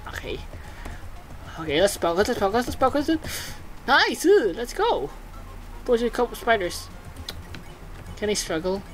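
A woman doing let's play commentary, excitable and sweary, repeating phrases rapidly as she reacts and reads game text. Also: medium pitch, slight background noise. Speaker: video game streamer. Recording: game music behind the voice. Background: video game music.

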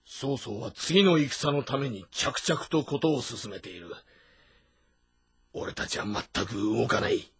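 An actor playing a gruff, impatient but loyal younger warrior in a historical game drama, blunt and rough in speech. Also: male voice, mid-range voice, lively intonation, slow, no echo, heavily acted. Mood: restless.

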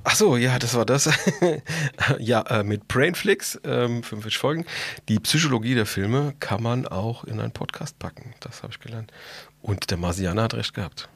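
Male podcast co-host: husky, creaky, average pitch, easygoing and jokey. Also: moderate pace. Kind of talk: casual podcast chat.

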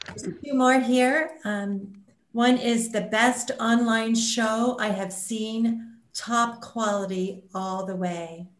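A woman's voice: fairly high pitch, steady tone, slow. A woman speaking as a theater representative on a panel, presenting and reading out comments. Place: indoors in a room, on a video call. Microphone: computer mic on video call. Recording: roomy video call audio.

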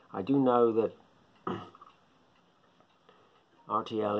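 Husky, gravelly middle-aged Englishman musing. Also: low voice. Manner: rambling, musing, repeats himself. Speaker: shortwave radio hobbyist vlogger. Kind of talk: casual talk to camera. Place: small indoor living room. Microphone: phone or webcam at close range.

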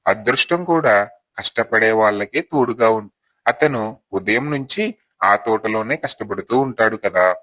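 A man's voice: fairly low pitch, expressive, measured pace, bright, forceful tone. A man playing a fair-minded, admiring village neighbour in a moral storybook tale. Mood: admiring, reasonable.

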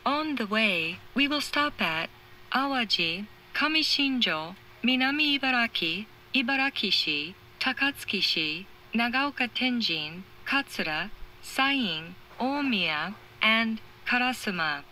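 A woman reading formal, measured scripted train announcements in clearly separated phrases through an onboard speaker. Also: fairly high pitch, lively intonation, pushed and bright, a little hiss or hum, low-quality recording. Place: recorded inside a train carriage.

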